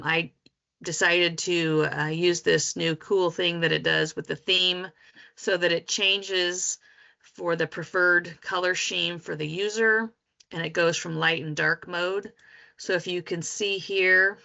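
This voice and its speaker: A woman giving an informal live screen demo as a student developer, talking haltingly and thinking aloud. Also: on the low side, creaky, pushed and bright, lo-fi sound. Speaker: student developer presenting a software project.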